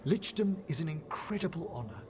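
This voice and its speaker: A man's voice: fairly high pitch, lively intonation, a little fast, background noise, lightly acted. A man playing a courteous, reflective older necromancer scholar in a video game, with formal, theatrical, erudite phrasing. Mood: conflicted. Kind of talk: video game cutscene acting. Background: music.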